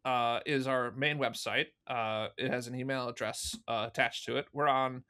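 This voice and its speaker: A man's voice: mid-range voice, steady tone, moderate pace, sharp tone. A man speaking as an interview guest in casual chat, with a self-deprecating manner. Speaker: interview guest, fan audio drama producer.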